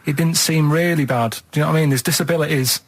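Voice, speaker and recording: A man's voice: fairly high pitch, fast. An English man co-hosting a radio show, rambling in an earnest, matter-of-fact, colloquial way. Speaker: radio show co-host telling odd stories. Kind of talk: rambling casual chat. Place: radio studio.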